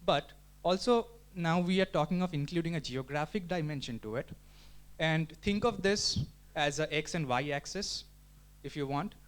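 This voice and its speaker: A man's voice: a little high, normal speed. A man giving an explanatory talk at a community meetup, thinking aloud with frequent restarts and filler words. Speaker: community presenter. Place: meetup room.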